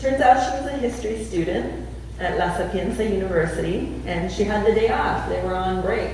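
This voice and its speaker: A woman's voice: medium pitch, a little mellow, slight background noise. Female preacher at a storytelling sermon, heard from far off in a very echoey church hall. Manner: storytelling with slowed emphasis. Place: church sanctuary.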